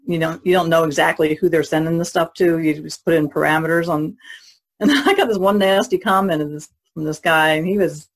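Woman chatting, slightly husky voice. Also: deep voice, a little fast. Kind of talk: casual chat.

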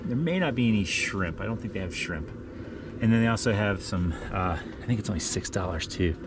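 A man's voice: on the low side, lively intonation, fairly quick, background noise. A man in his forties casually narrating a hike to an action camera held at arm's length outdoors. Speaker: vlogger on an adventure racing team. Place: outdoors on a windy mountain trail, sometimes inside a small shelter.

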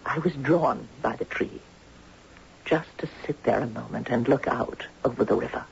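A woman's voice: rumbling low, normal speed, creaky, a little mellow, heavily acted. An actress playing a refined, wistful lady in her sixties, telling her story genteelly in a radio drama. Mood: nostalgic. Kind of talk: 1970s radio drama acting. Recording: dull, noisy 1970s radio recording.

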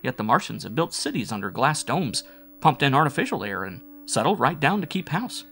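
A male reader plays a quick-thinking spaceship crewman in a science fiction story. His voice is lifted higher, and he reasons things out in an explanatory, level-headed way, with faint music behind. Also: very animated, vocal fry, fairly bright tone. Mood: argumentative. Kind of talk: science fiction audiobook character.

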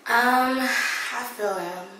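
A young woman with a raspy voice, speaking slowly and playfully as a blindfolded contestant on a blind date. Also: on the high side, sharp tone, slight background noise. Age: young adult. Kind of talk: casual chat. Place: living room indoors. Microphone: far camera. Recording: echoey room from far off.

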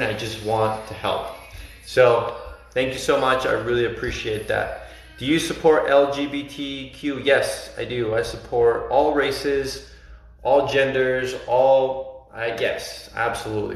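A man in his thirties from Argentina, a life coach chatting earnestly with viewers on a phone livestream from his living room. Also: medium pitch. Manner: earnest, encouraging, reads out viewer comments. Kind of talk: casual livestream chat with viewers. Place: bright living room indoors. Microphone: phone at arm's length. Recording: very echoey room with faint music.

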